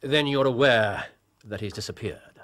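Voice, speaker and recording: An actor playing a shrewd, confident Victorian detective in a period drama, with an English accent and a theatrical, incisive, deliberate delivery. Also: male voice, mid-range voice, fairly bright tone, close to an everyday voice. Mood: probing, cool. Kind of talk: period radio drama dialogue. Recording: clearly noisy, ambient background.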